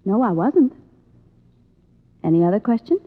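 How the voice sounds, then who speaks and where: A stage actress with something to hide, in a medium-pitched voice. The acting is that of a 1950s radio mystery, heard through a thin, tinny broadcast recording. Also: female voice, very animated, a little slow. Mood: guarded. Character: young stage actress, demure front over cold-blooded killer.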